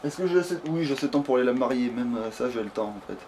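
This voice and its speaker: A man's voice: medium pitch, expressive, racing, a little mellow. A man giving casual, sweary and jokey commentary as he plays a video game. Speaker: let's play gamer. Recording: faint background noise.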